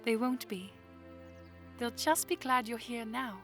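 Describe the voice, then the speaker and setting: Woman playing a slow-spoken, playful young princess. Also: on the high side, faint music behind. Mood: gentle. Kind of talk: audio drama acting.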